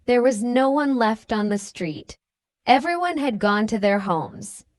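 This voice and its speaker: A woman's voice: on the high side, expressive, unhurried, dry recording, studio quality. A woman narrating a scripted children's story as a storybook reader.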